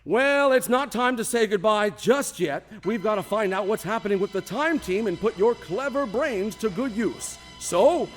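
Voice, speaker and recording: A man with a high, very lively voice plays the theatrical host of a radio drama show. He makes grand, playful introductions in a formal showman's style, with faint music behind him. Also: moderate pace, hardly any pauses.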